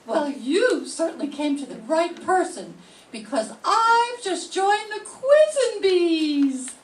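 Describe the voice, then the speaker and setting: An older woman playing a chatty, cheeky young gossip, landing each joke slowly, in an amateur radio drama reading. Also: very high-pitched, very animated, exaggerated voice. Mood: gossipy. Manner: slow, punchline delivery. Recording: echoey room, far from mic, faint noise.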